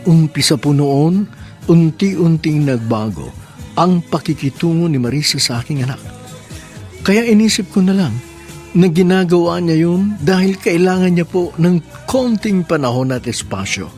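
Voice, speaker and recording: A man reading a confiding letter aloud in an earnest, measured way. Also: fairly high pitch, darkish tone, soft background music. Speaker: letter sender.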